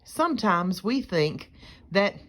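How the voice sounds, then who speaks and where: A woman's voice: medium pitch, big pitch swings, slow pace, a bit bright. A woman teacher reading a picture book aloud to young children, explaining things in simple terms.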